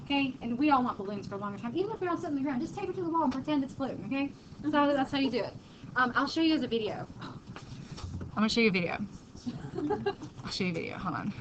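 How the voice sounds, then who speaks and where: A woman teaching a high school chemistry class in a casual, joking way, quizzing her students as she goes. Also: lively intonation, fast, long pauses. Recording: muffled classroom recording, background noise.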